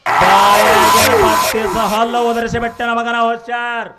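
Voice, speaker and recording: Arrogant, menacing landlord villain. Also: male voice, extremely high pitch, level tone. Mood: menacing, boastful. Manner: drawn-out, threatening, sneering delivery. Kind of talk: folk theatre villain dialogue. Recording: live stage play recording with loud music.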